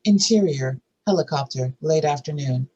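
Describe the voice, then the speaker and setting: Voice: mid-range voice, moderate pace, a little mellow, dull audio. A narrator reading screenplay stage directions and scene headings aloud at a scripted table read.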